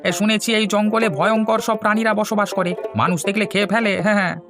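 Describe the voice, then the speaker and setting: A man voicing a high-pitched, concerned villager. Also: fairly even intonation, quick pace. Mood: concerned, warning. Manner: cautioning, inquisitive. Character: concerned villager warning a boy of forest dangers. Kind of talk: cartoon story voice acting. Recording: music behind the voice.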